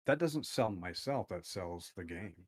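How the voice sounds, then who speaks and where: A man with a fairly low voice talks loosely, leaning on likes and you-knows between his jokes. The sound is dry and close, with no room around it. He keeps an easy, kidding tone. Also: lively intonation, slightly rough, no room sound. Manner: joking, drawn-out, many likes and you-knows. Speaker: guest streamer. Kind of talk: casual podcast chat.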